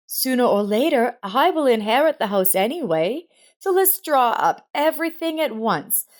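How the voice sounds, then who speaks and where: A woman's voice: high voice, voice pushed up. A woman voicing a selfish, pushy, indignant grown daughter in a narrated family drama story.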